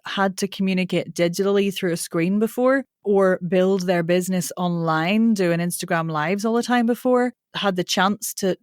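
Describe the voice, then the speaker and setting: A woman's voice: fairly low pitch, dry recording, studio quality. A woman voice coach and author chatting informally as a podcast guest, laughing easily and dropping mild swear words. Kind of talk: casual interview chat.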